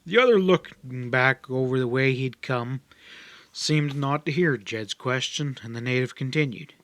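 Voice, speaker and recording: A man's voice: medium pitch, unhurried, a bit bright, no echo. Dry-sounding male audiobook narrator. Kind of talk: scripted storytelling narration.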